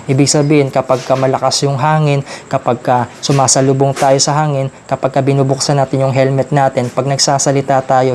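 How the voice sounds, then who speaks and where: A Filipino man talks through a product in a casual vlog review. His mid-range voice stays fairly even as he explains things informally to viewers. There is faint noise behind him. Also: medium pitch. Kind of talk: casual unboxing and review talk.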